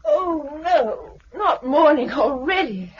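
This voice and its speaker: A woman's voice: high-pitched, expressive, takes it slowly, slight background noise. A woman playing an affectionate but anxious English farmer's wife in a 1950s British radio drama. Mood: weary. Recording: muffled 1950s radio recording.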